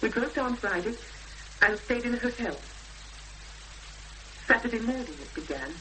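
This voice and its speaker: A high, expressive voice of a frightened, weary woman in a seventies radio play. She speaks in intense, reflective fragments. The old broadcast recording carries a faint layer of noise. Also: moderate pace, rough recording. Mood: troubled. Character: frightened, weary woman searching for her missing sister. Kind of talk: 1970s radio drama acting.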